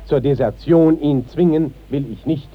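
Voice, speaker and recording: Man playing a stern, husky, high-pitched court inspector. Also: moderate pace, natural voice. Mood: composed authority. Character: stern, commanding male visiting court inspector, indignant at disorder. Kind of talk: 1950s German radio stage-classic acting. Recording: dull 1950s radio drama recording.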